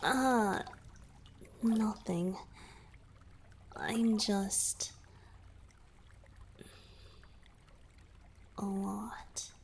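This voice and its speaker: A woman voicing a young merman boyfriend in a romantic ASMR roleplay, shy, teasing and affectionate, speaking softly and hesitantly and often dropping into intimate whispers. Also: medium pitch, drawn-out words, gravelly, a little hiss or hum. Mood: shy, tender.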